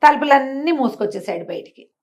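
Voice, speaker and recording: A woman's voice: high-pitched, animated, quick pace. A woman telling a story in a conversational way, posing rhetorical questions as she narrates. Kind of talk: animated oral storytelling in a talk show.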